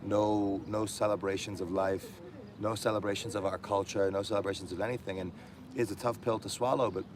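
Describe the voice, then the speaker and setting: A man's voice: fairly low pitch, little pitch movement, a little fast, background noise. A man guest reflecting in casual conversation, thoughtful and hesitant, with many fillers. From diaspora Armenian. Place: indoors in Armenia.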